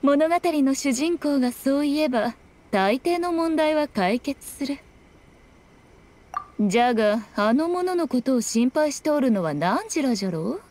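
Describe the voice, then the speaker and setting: A woman's voice: sits high, a little mellow. A woman voicing a sly, haughty fox shrine priestess in a game, speaking in an archaic, lofty manner. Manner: archaic, lofty, unhurried speech. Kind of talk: voiced game dialogue. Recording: game music behind the voice.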